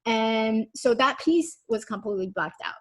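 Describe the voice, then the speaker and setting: Slightly high woman telling her story. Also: expressive, normal speed. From Taiwan. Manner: conversational, uses "like" and "you know" often. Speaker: guest, confidence coach. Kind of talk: personal storytelling in an interview.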